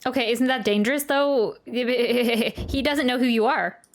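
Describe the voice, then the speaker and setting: A woman's voice: a little high, a little slow. A woman giving casual, chatty reaction commentary as a game streamer, with rambling, jokey asides.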